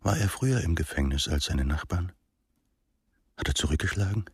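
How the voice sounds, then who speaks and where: Probing sceptical guy, low breathy rasp, insistent questions, dry close radio drama sound. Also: lively intonation, fairly quick, no room sound, exaggerated voice.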